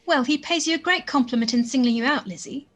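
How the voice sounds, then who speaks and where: A sensible young gentlewoman of a period radio play, played by a woman. Her voice is fairly high and husky, earnest and admonishing, like a practical confidante. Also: fast. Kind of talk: period drama live radio-play reading.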